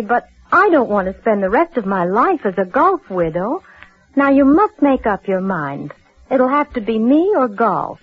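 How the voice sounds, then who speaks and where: A woman's voice: fairly high pitch, slightly rough. A woman playing an earnest young fiancée, pleading yet firm, in theatrical 1940s radio acting. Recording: muffled vintage radio, faint music behind.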